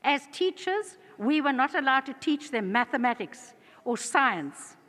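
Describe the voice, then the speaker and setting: South African woman, conference presenter, bright tense voice, long hall reverb. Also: sits high, very animated, measured pace. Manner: earnest, fluent, with frequent restarts.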